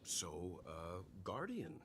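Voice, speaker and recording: An actor playing a thoughtful, reserved mature gentleman in a video game, speaking politely and carefully. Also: low voice, huge pitch swings, slow pace, slight background noise, heavily acted. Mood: reserved, questioning.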